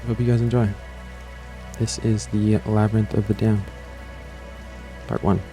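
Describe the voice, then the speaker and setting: A man talks casually in a low, mellow voice, as a creator addressing his audience. He rambles in an offhand way, circling back and letting thoughts trail off. Music plays behind him. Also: level tone, a little slow, poor audio. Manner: offhand, repeats himself and trails off. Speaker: creator and host of an audiobook channel. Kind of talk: casual rambling introduction to viewers.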